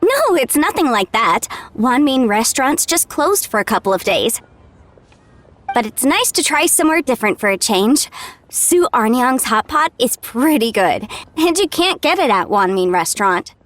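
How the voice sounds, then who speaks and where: A woman's voice: on the high side, very animated, moderate pace, a bit bright, slight background noise. An actress playing a friendly, easygoing young woman in an anime-style video game, talking in a breezy, chatty way. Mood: upbeat.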